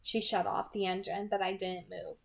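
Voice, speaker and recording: Female amateur narrator, medium pitch, noticeable vocal fry, scripted fiction read-aloud. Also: flat delivery, normal speed. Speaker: amateur audiobook reader.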